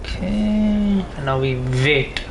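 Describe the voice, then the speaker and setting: A man's voice: on the high side, lively intonation, slow. A man giving casual gameplay commentary, talking himself through each move. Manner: talks himself through moves, mild swearing. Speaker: gaming streamer. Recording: loud game noise behind voice. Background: game sound effects and ambience.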